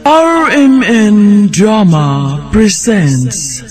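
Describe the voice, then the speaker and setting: A man with a Filipino Bisaya accent announcing a radio drama in a theatrical, drawn-out scripted style. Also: sits high, expressive. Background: music.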